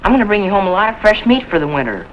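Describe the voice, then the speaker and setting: Eager, tender-hearted boy, high and excitable, 1950s TV drama with muffled soundtrack. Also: racing. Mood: eager, excited. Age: child. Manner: earnest, excitable, folksy. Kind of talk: 1950s television drama acting. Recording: dull, noisy 1950s TV soundtrack.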